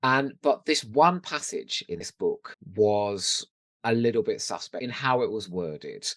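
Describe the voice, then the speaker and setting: A British man with a fairly low voice co-hosts a podcast in a dry, close recording. He chats casually and offers opinions freely, telling things in an animated, sweary way. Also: measured pace, no echo. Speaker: podcast co-host.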